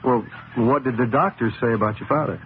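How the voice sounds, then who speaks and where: A man's voice: mid-range voice, brisk, natural voice. Man playing a troubled racing driver, old radio. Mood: incredulous. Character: irritable, troubled male racing driver, haunted and defensive. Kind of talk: old radio drama acting. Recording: noisy narrowband old radio broadcast.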